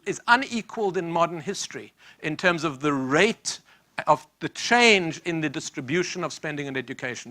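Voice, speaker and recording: Older south african guy giving a lecture, bright kinda high voice, keeps restarting sentences. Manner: measured, restarts mid-phrase, data-heavy. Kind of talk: academic panel talk.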